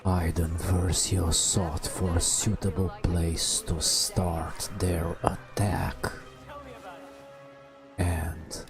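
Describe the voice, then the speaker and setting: A man telling a bedtime story in an ASMR style, in a hushed breathy whisper with drawn-out phrases and long pauses. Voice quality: breathy whisper with creaky low murmur. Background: video game ambience.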